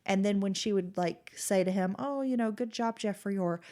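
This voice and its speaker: A woman's voice: medium pitch, normal speed, dark tone. A woman co-hosting a casual true-crime podcast, her voice nasal and stuffy from a cold as she reads case details and chats. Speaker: podcast co-host.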